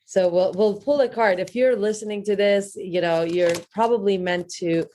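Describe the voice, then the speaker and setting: A woman's voice: medium pitch, steady tone, moderate pace, mellow, slight background noise. A woman guest on a podcast, talking casually about her work with frequent fillers and restarts. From Iran. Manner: hesitant, many fillers and restarts. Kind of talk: casual interview talk.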